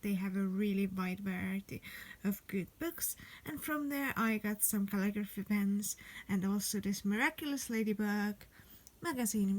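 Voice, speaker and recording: A woman's voice: mid-range voice, poor audio. A young woman from Estonia, chatting enthusiastically in a casual vlog voice-over. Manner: chatty, enthusiastic, repeats "really". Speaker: vlogger.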